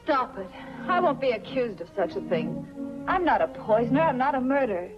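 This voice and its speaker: A woman's voice: fairly high pitch, big pitch swings, brisk. An actress playing a frightened young woman who is wronged yet defiant, earnest and dramatic in 1940s film noir style. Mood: indignant, pleading. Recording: muffled 1940s film soundtrack with music behind.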